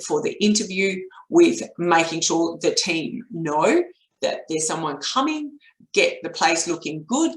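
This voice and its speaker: Croaky middle-aged Australian woman in an echoey room. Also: fairly low pitch, fairly bright tone. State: croaky voice from a cold. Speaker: business coach presenting a webinar. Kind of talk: explanatory webinar talk with step-by-step recap. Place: indoor home office, at a desk. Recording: roomy, echoey webcam audio.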